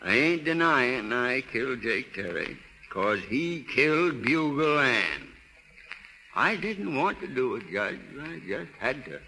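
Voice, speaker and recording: An actor playing a folksy, stubborn old hound owner with a rural American drawl and halting, plain-spoken manner in a 1930s radio drama. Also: male voice, mid-range voice, very animated. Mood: tender, solemn. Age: older. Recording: hissy 1930s radio recording with clicks.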